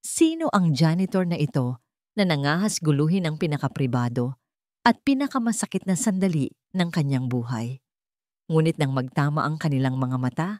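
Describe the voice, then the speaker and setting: A woman narrating a scripted dramatic love story in a theatrical, deliberately phrased way. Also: low voice, a little mellow, no echo, studio quality.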